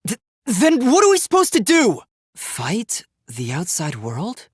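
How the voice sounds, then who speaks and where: A man voicing an earnest, determined and idealistic young male robot in a dramatic video game, with a youthful, light, slightly husky voice and a formal, emphatic delivery. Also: high-pitched, huge pitch swings, unhurried, no echo, studio quality, full character voice. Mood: confused, startled.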